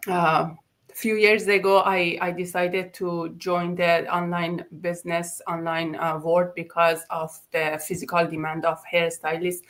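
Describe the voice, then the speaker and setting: Woman, 40s, from Iran, low voice, flat, earnest, hesitant, video call. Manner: earnest, hesitant with many fillers. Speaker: hairstylist. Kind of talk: casual self-introduction on video call.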